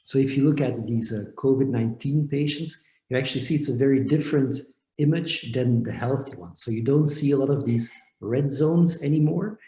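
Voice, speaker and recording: A man from Belgium, a company founder, explaining his work step by step in a measured way over a video call. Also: mid-range voice, mellow. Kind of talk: explanatory interview answers. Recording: echoey room.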